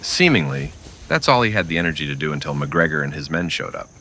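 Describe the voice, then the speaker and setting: A man narrating a scripted western in old-time radio style, with dramatic, deliberate storytelling. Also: extremely low pitch, big pitch swings, sharp tone, slight background noise.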